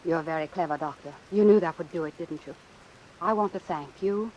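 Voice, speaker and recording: A woman's voice: lowish voice, fairly quick, a little mellow, lo-fi sound, close to an everyday voice. Actress as cool, shrewd woman doctor, hissy old radio. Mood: bitter, resolute. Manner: cool, clipped, sardonic. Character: embittered, shrewd, ambitious young woman doctor. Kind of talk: old-time radio suspense acting. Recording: hissy vintage radio recording with rain. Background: hiss and falling rain.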